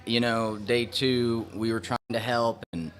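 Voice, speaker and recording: A man's voice: mid-range voice, fairly even intonation, a little slow, a bit bright. A man, a guest who speaks plainly and politely. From Kerrville, Texas.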